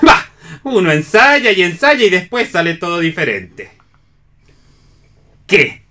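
Radio theatre: brash, goat-faced peanut seller, sharp forceful tone, blustering and theatrical. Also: female voice, on the low side, big pitch swings, takes it slowly, heavily acted. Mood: grumbling. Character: brash peanut hawker with monkey tail, goat face.

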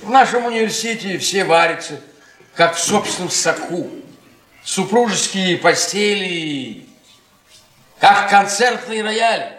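A man's voice: high voice, animated, unhurried, a bit bright, echoey room. An actor playing an embittered, mocking middle-aged history professor in a radio play, needling his listener and theatrically repeating and stretching words. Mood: wry, goading. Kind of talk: Russian stage-style radio play acting.

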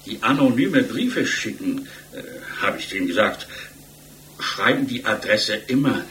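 A man's voice: fairly low pitch, expressive, measured pace, fairly bright tone. An actor playing a chatty, slyly knowing village postman, hesitant and repetitive, heard as a taped statement in a radio play. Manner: drawling, hesitant, repetitive, chuckling. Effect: tape playback. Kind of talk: 1960s German radio play acting, witness statement on tape. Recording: noisy taped playback.

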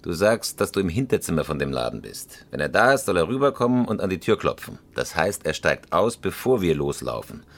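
Gravelly, low-voiced commanding crook. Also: male voice, sing-song, brisk, hardly any pauses, exaggerated voice. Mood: cool, calculating. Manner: terse, matter-of-fact, giving orders. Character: cool, commanding career criminal planning a getaway. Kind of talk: radio crime drama acting.

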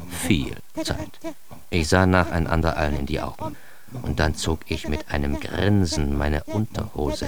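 A man's voice: very deep voice, exaggerated voice. An actor playing a cocky, self-mocking East Berlin man who tells his absurd story with mock-grand irony in a radio drama. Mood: sly, suspenseful. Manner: ironic, mock-grand, addressing the listener. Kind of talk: first-person radio drama monologue.

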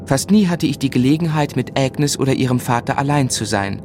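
A male actor plays an earnest, observant gentleman telling his story in a radio drama. The voice sits at medium pitch, with measured, literary delivery. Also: lively intonation, noisy background, lightly acted. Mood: grave, observant. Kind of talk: scripted first-person narration in German radio drama.

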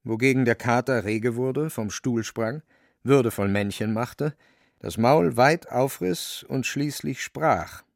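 A man narrating a literary radio play as scripted storytelling, with a creaky voice. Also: medium pitch, slow, dry recording, clean studio sound.

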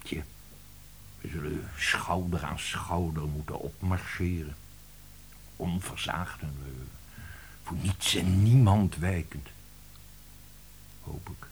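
Deep-voiced dry, rueful director. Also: male voice, fairly even intonation, slow, pauses often, a little hiss or hum. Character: dry, rueful older publishing director, mock-heroic grumbler. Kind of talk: Dutch radio play acting.

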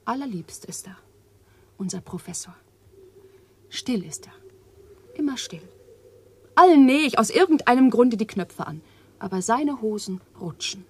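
An actress playing Eva, an overwrought and bitter young woman, in a radio play, speaking with impassioned swings from hushed to loud. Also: on the high side, animated, measured pace, pauses often, slightly rough. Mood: intense. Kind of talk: 1980s radio drama acting.

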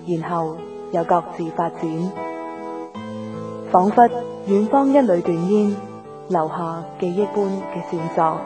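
A woman playing a reflective, romantic storyteller, narrating a radio drama in poetic scripted lines with a Hong Kong accent. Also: fairly low pitch. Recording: radio drama over guitar music.

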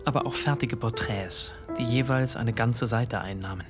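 Reflective male narrator played by an actor, first-person radio drama. Mid-pitched, musing delivery, clear music underneath. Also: moderate pace, close to an everyday voice. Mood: musing, intrigued. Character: reflective grandson, fascinated male narrator of a discovery. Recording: music behind the voice.

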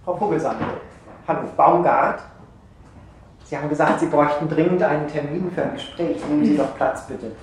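A man's voice: a little high, a little fast, natural voice. An actor playing a composed, courteous male therapist with a professional manner in a naturalistic radio drama. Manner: polite, professional. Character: polite, composed male therapist receiving a client. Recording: very echoey room, clear background noise.

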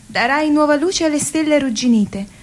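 A woman's voice: high-pitched, moderate pace, close to an everyday voice. A woman playing a lyrical oracle who foretells the future, reciting solemn radio-theatre poetry. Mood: solemn, prophetic. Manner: solemn, declamatory, poetic.

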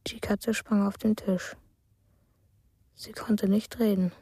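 Slow, hushed, dark-voiced storyteller. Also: female voice, medium pitch, steady tone, husky, no room sound, studio quality. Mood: hushed, dreamlike. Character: dreamlike first-person narrator recounting a night in the forest. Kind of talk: slow literary radio play narration.

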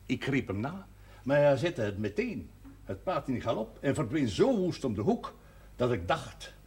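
An actor playing an elderly village priest in a television drama, speaking in formal, measured, slightly hesitant phrases. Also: male voice, mid-range voice. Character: old village priest, courtly, wistful, gently teasing. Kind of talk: television period drama dialogue. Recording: faint background noise, 1970s television audio.

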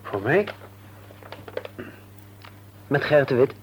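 An actor playing a polite, hesitant young suitor in an old Dutch radio play. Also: male voice, mid-range voice, very animated, takes it slowly, a little hiss or hum. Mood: guarded. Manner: hesitant, stammering. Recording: dull, lo-fi old radio recording.

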